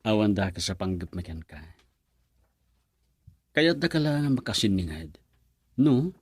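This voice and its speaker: An actor playing an earnest man who calmly and persuasively asks to talk with a young woman. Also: on the low side, measured pace, slightly rough, no echo, clean studio sound, full character voice. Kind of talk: radio drama acting.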